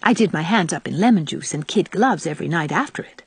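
A lively young country woman from a storybook, voiced by a woman narrator. She is frank and teasing, with animated pitch and a close, dry sound. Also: quick pace, slightly rough, no echo, full character voice. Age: young adult. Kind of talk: audiobook character voice.

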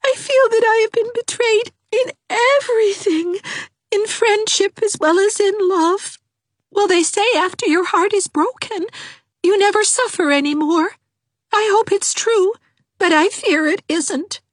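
A woman's voice: very high-pitched, measured pace, dry recording, studio quality, voice pushed up. A woman voicing a melodramatic young woman who sobs and wallows in self-pity, her voice thick with tears. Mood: tearful, melodramatic. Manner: theatrical, sobbing, overwrought. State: crying.

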